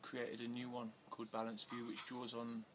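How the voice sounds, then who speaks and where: A man's voice: medium pitch, monotone, normal speed. Hesitant man, noisy background. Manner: hesitant, many fillers and restarts, growing agitated. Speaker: interviewee. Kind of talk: casual interview answers. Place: outdoors in India.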